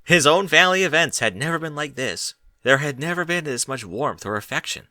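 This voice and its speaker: A man reading a story aloud as an expressive audiobook narrator. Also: a little high, brisk, dry recording.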